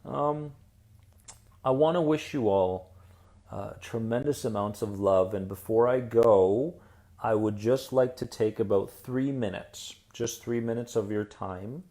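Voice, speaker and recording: A man hosting a live stream as an anxiety coach, upbeat and encouraging as he answers viewer comments, then slow and soothing in a guided visualization. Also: lowish voice, warm tone. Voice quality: soothing radio-style voice.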